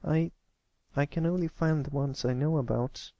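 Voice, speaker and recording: A reader voicing a timid, hurting young colt in a story, with a halting, defensive manner. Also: medium pitch, dark tone, dry recording, lo-fi sound, voice pushed up, natural voice. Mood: meek, uneasy. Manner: meek, halting, stammering. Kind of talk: acted fan fiction reading.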